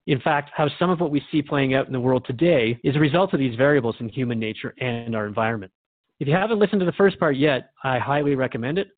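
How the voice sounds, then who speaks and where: A man's voice: mid-range voice, dry recording, phone-quality sound, lightly acted. A man playing a curious, polite radio host in an audio drama, interviewing in a courteous, conversational way. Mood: introductory.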